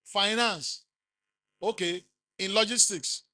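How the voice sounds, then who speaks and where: A middle-aged man with a Nigerian accent giving a business seminar talk, rising loudly for emphasis and quizzing the audience. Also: sits high, takes it slowly, no echo. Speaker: conference speaker.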